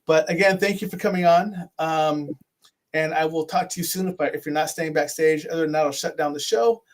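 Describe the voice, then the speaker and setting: A man's voice: on the high side, a little fast. A man hosting an online talk show, closing out an interview with friendly thanks and frequent repeated words. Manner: warm-hearted, repeats words, says "brother". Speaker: show host. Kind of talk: casual interview chat.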